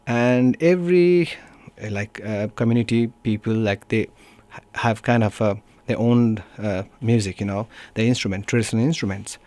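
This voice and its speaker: Low-voiced Nepali man, halting and casual. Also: a little mellow, dry recording. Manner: halting, searching for words, frequent "you know". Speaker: musician being interviewed, guitarist. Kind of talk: casual radio interview. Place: radio studio.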